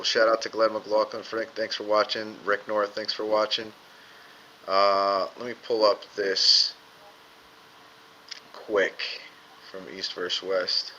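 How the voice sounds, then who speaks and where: Bright, blunt man in his forties. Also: on the low side, flat delivery, measured pace, pauses often, a little hiss or hum. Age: 40s. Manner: blunt, joking, swearing. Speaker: podcast co-host. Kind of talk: casual profane chat. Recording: muffled with faint room ambience.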